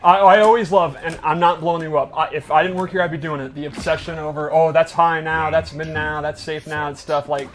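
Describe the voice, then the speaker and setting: Higher-pitched man commentating on gameplay. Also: fairly even intonation, brisk, hardly any pauses, soft background music. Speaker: game developer, player and commentator. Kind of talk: casual live gameplay commentary.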